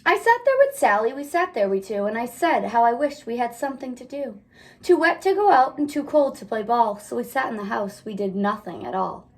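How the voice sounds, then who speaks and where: A woman reading a children's picture book aloud in an animated, expressive style, as a teacher does. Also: fairly high pitch, fairly quick.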